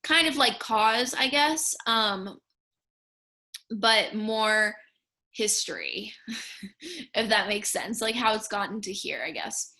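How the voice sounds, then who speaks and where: A woman's voice: mid-range voice, expressive, takes it slowly, long pauses, pushed and bright. A young woman giving an informal slideshow lesson, explaining things in a casual, slightly tentative way. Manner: casual, tentative, hedging with "kinda" and "I guess". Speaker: speech and debate coach or student instructor.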